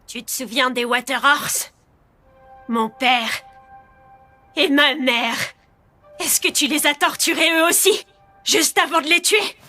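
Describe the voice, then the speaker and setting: A bitter little orphan boy in an anime. The voice is extremely high and sharp, emotional and accusing, with faint music behind. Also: slow, pauses often, heavily acted. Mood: hurt, accusing. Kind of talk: French-dubbed anime acting.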